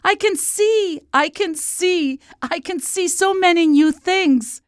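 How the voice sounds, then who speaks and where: A woman's voice: on the high side, expressive, measured pace, a little mellow, no echo, clean studio sound, pitched above the performer's own voice. A woman voicing a sweet, eager little girl princess full of wonder in a children's storybook. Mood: delighted.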